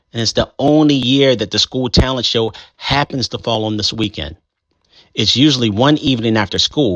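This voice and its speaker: A man reading a first-person chapter book aloud in a conversational, expressive way. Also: lowish voice, dry recording. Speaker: storybook reader.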